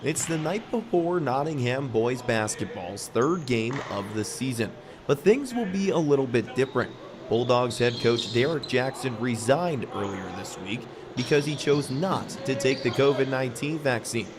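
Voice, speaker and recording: A man's voice: medium pitch, animated, moderate pace. A man reading a scripted local sports news report as a reporter. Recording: noisy gym ambience behind. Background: bouncing basketballs, ambient noise.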